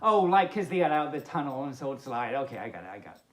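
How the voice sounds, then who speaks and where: Animated woman reading a storybook, roomy echo. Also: a bass voice, fast. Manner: playful, amused, commenting on the story as it goes. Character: friendly playful pup storyteller. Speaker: host of a children's story time. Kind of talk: expressive storybook read-aloud with chatty asides. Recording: roomy, echoing indoor sound.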